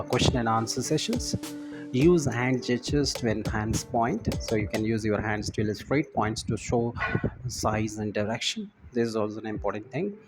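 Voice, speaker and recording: A man giving an instructional lecture, explaining points in a didactic, halting way and repeating key phrases. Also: lowish voice. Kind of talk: lecture explaining presentation slides. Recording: music behind the voice. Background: background music.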